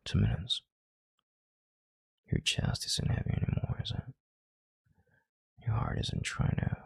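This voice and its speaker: A man playing a calm, controlling, arrogant chief executive in an intimate audio roleplay, with slow, deliberate, condescending authority and a hushed delivery. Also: no room sound, full character voice. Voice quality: deep, gravelly, breathy half-whisper. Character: calm, controlling, arrogant CEO soothing his analyst.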